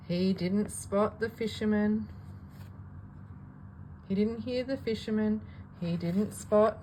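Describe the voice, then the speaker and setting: Woman, animated bedtime-story reader, sing-song delivery with dramatic pauses, noticeable background noise. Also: medium pitch, rough recording. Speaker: parent reading a bedtime story. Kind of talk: expressive children's storybook read-aloud.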